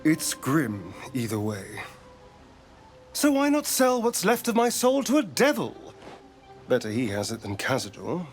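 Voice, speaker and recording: Theatrical, sardonic vampire with swooping intonation. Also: male voice, a little high, wildly swooping pitch, unhurried, soft background music, exaggerated voice. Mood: bitter, sardonic. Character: sly theatrical male vampire spawn, witty and haunted. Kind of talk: dramatic video game dialogue acting.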